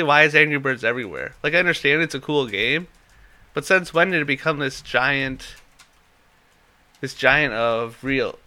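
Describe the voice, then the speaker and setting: A young man co-hosting a loose tech chat, his voice bright and forward even through a stuffed-up nose. He talks at an ordinary pitch and wanders through his points, often losing his place mid-thought. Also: clear, resonant tone. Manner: rambling, loses his train of thought. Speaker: podcast co-host.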